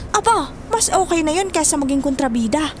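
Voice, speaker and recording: Casting: actress as brash young Filipino-accented student friend, extremely high voice, teasing banter, slight noise. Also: female voice, expressive, fairly quick. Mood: playful, bossy. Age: young adult. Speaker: voice actor. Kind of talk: Tagalog audio drama acting, banter. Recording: faint background noise.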